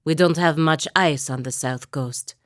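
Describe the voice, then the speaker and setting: An actress playing a proud, stern, dutiful woman warrior in a fantasy video game, speaking in a formal, wry, measured manner. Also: sits low, no echo, clean studio sound. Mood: dry, wry. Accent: Valian, a lightly Italian-sounding fantasy accent. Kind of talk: scripted game dialogue, voice lines and barks.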